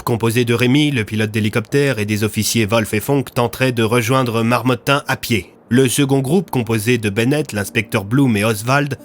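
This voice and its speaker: A man's voice: medium pitch, slight background noise. A man narrating a scripted audio fiction as a storyteller. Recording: music under the voice.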